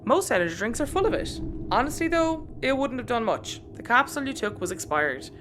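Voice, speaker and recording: Lively, harried spaceship captain. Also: female voice, fairly high pitch, a little fast, noisy background. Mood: patient, concerned. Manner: patient, matter-of-fact, exasperated with crew. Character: sensible, harried woman acting as spaceship captain. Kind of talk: audio sitcom acting.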